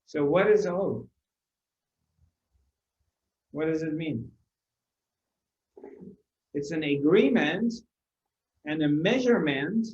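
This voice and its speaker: A man giving a spiritual discourse to a live audience, unhurried, repeating his phrases and asking rhetorical questions. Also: a little high. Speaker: spiritual teacher. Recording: roomy, dull lo-fi recording.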